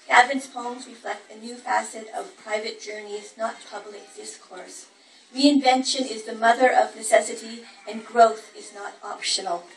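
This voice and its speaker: A woman's voice: on the high side, fairly even intonation, moderate pace. A woman hosting a live literary event, introducing a reader informally and partly from notes. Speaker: event host introducing a poet. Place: echoey room at a live event. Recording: echoey, distant, faintly noisy room recording.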